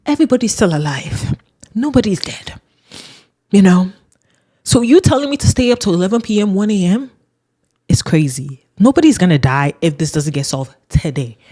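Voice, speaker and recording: A young adult woman with a deep voice, hosting a podcast and ranting casually with laughs. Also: darkish tone. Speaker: podcast host. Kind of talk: casual solo rant.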